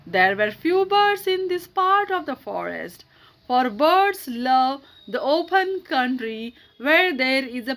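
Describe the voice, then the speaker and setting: A woman's voice: high voice, a bit bright. A woman narrating a children's story as an audiobook storyteller.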